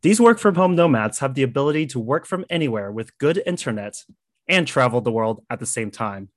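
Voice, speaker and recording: A man's voice: medium pitch, lively intonation, brisk, no echo. Male podcast host, casual and upbeat, dry close mic. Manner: upbeat, friendly, fillers. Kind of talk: podcast interview.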